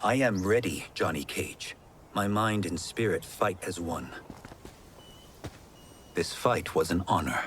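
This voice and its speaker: A man's voice: deep voice, slow, long pauses, fairly bright tone, a little hiss or hum. An actor playing a humble young farm-boy warrior in a video game, sincere, formal and principled. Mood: determined. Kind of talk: cinematic video game voice acting.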